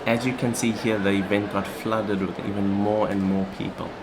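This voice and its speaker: A man's voice: fairly low pitch, flat delivery, normal speed, noisy background. A young man narrating a scripted vlog. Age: young adult. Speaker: vlogger.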